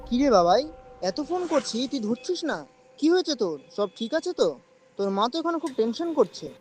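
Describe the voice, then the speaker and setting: A man's voice: very high voice, very animated, moderate pace, darkish tone, soft background music. A man acting an anxious middle-aged father in an audio drama, questioning with worried urgency.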